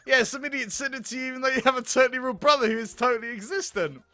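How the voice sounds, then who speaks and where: A man's voice: very high voice, very fast, bright, forceful tone, no echo, faint music behind. A man reading a visual novel aloud in a theatrical, silly, playful way, as a gaming video host.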